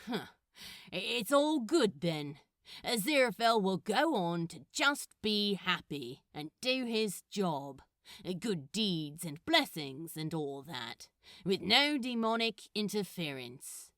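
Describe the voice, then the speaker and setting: A woman voicing a sarcastic, easily indignant male demon in a story, grumbling and exclaiming with a creaky voice. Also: mid-range voice, very animated, slow, a bit bright, no echo, studio quality. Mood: resigned.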